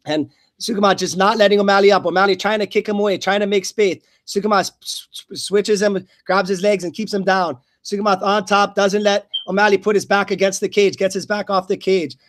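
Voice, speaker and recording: A man doing live radio play-by-play of an MMA fight, somewhat halting, repeating and stumbling over words. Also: high voice, level tone, no room sound, studio quality. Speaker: play-by-play commentator.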